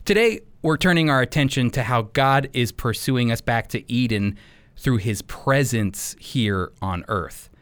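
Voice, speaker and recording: A man's voice: medium pitch, animated, measured pace, a bit bright, clear, resonant tone. An American man teaching the Bible as a radio host, speaking earnestly and emphatically in a conversational preaching style. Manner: earnest, emphatic, rhetorical questions.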